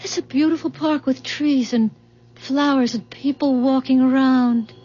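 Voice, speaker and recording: An actress playing an emotional, breathless wife full of wonder in a 1970s radio drama. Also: female voice, sits high, fairly even intonation, unhurried, mellow. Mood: tearful wonder. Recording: dull 1970s radio recording with music.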